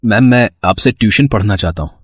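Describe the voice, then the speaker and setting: A man's voice: fairly low pitch, brisk, no echo, close to an everyday voice. Man playing a fawning, infatuated college boy. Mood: pleading. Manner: hesitant, pleading, fawning. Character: infatuated 21-year-old male college student, sly.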